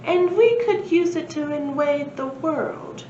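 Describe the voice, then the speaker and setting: A woman voicing a sly, hungry, pale vampire creature in a children's storybook, with slow, drawn-out speech. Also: high voice, expressive, darkish tone, distant mic, pitched above the performer's own voice. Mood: sly, suspicious. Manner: slow, drawn-out, menacing delivery. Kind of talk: children's storybook read-aloud. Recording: roomy, muffled, faint background noise.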